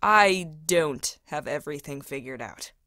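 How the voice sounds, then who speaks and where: A woman's voice: low-pitched, lively intonation, measured pace, sharp tone, dry recording, full character voice. Woman playing caring young sister in an audio drama, very up and down voice, dry recording. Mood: gentle, sad. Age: young adult. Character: caring, self-doubting young woman, eldest sibling. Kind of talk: naturalistic audio drama acting.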